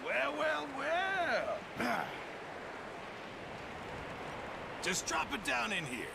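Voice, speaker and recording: An actor playing a jovial, boozy outlaw camp cook in a video game, chummy and theatrical. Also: male voice, very high-pitched, huge pitch swings, takes it slowly, creaky, very noisy, full character voice. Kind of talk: dramatic video game acting.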